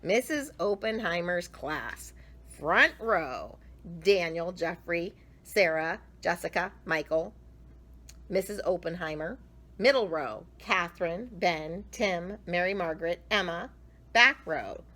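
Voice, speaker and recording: A woman reading a picture book aloud to children, expressively, with drawn-out emphasis. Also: on the low side, lively intonation, sharp tone. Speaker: children's storyteller.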